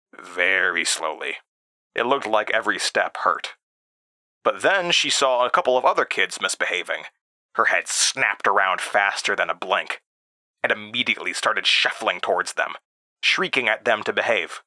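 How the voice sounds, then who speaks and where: A man's voice: fairly low pitch, sharp tone, dry recording, exaggerated voice. An actor playing an uneasy man who recounts childhood memories in a confiding, hesitant audio drama monologue.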